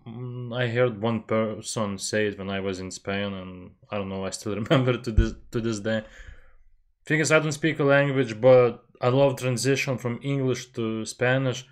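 A man's voice: mid-range voice, clear, resonant tone. Young man chatting on a headset. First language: Lithuanian. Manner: chatty, self-deprecating, laughs often. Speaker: reaction channel host. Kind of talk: casual music reaction commentary. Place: small bedroom at home. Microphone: headset with desk mic, webcam framing.

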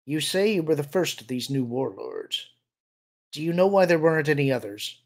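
Man playing a calm, weary, gravelly senior officer. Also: on the high side. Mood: gentle, grave. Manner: quiet, measured, gentle authority.

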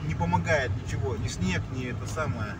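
A man's voice: medium pitch, brisk, far from the mic. A man vlogging casually from a moving car, rambling and joking as he repeats himself. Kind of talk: casual rambling chat while driving. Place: inside a moving car at night. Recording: loud car engine and road noise.

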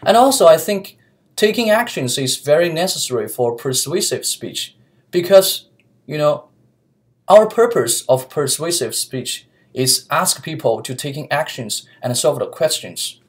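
A young man from China speaks English with a Chinese accent, earnest and careful. He pauses with fillers and restarts phrases as he looks for words. His voice is picked up by a laptop webcam in a small office room. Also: a little high, sing-song. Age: young adult. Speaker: student interviewee. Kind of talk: mock job interview. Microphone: laptop webcam mic.

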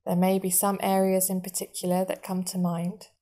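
A woman guiding a meditation in a soothing, measured manner, leaving long pauses between short phrases. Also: medium pitch, completely flat delivery, mellow. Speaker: meditation guide. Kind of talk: guided body scan meditation narration.

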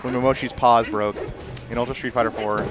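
Loud, excitable male esports commentator. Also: medium pitch, brisk, over music. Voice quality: naturally loud voice. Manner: excitable, exclaims over big plays. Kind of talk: live play-by-play commentary. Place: tournament venue.

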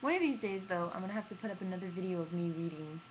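A woman's voice: lowish voice, animated, very fast. A young adult woman tells stories casually to camera in a theatrical, self-mocking manner, recorded on a webcam in a room at home. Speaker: gaming vlogger. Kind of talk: casual animated storytelling to camera. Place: small room at home, indoors. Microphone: computer webcam at arm's length. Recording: dull lo-fi webcam sound with clear noise.

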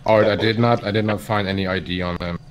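Low-pitched man, casual police role-play. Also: fairly even intonation, quick pace, slight background noise.

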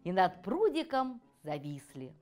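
A woman's voice: on the low side, big pitch swings, measured pace, dark tone, faint music behind. A middle-aged woman reading a fairy tale to children expressively. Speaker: author reading her own fairy tale. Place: furnished room with armchair and fireplace, indoors.

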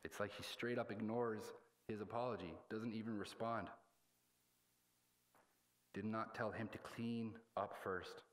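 A man in his thirties preaching a sermon as a pastor, in a halting, plain-spoken, colloquial way. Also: on the low side, steady tone. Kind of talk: sermon with scripture reading. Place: indoors at a church pulpit.

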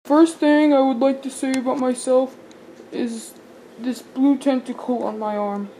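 A woman's voice: high voice, steady tone, a little slow, warm tone, a little hiss or hum, poor audio. A young woman voicing a nervous, shy male doll in his twenties, talking in an anxious, plaintive way.

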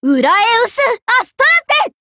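A woman's voice: sits high, sing-song, brisk, a bit bright, no echo, studio quality, full character voice. A woman playing a haughty, vain young Egyptian queen spirit in an anime game, speaking with imperious, ladylike command. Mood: commanding, dramatic. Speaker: game voice actor. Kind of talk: anime game character voice lines.

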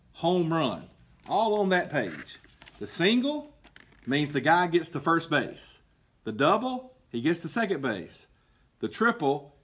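A middle-aged man reading a picture book aloud to children as a coach, explaining in a deliberate, emphatic, instructive way. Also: a little high, fairly bright tone, breathy edge. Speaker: baseball coach and PE teacher. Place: indoor school room with book shelves.

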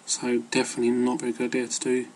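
A man's voice: mid-range voice, monotone, racing, darkish tone, poor audio. A man giving casual, rambling advice as an amateur fitness vlogger, hesitant and loosely worded.

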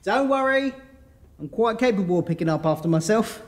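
An actor playing a bumbling, self-assured middle-aged family dad in an audio drama. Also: male voice, sits high, expressive, brisk, in a large hall, soft background music. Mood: flustered, breezy.

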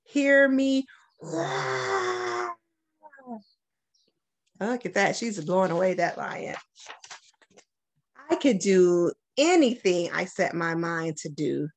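A middle-aged American woman from Detroit, a school principal, doing an expressive storytime read-aloud with deliberate phrasing. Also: on the low side. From Detroit, United States. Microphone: webcam at about arm's length.

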